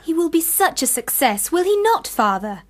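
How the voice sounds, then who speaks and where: Eager little victorian girl, childlike, really high voice that jumps around. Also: very animated, normal speed. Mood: adoring. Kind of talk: radio drama acting.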